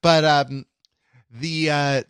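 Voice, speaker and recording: A man talking casually as a podcast host, halting and rambling, often interrupting himself, with a wry, self-deprecating manner. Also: on the high side, steady tone, a bit bright, smooth, no echo, clean studio sound. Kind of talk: casual chat.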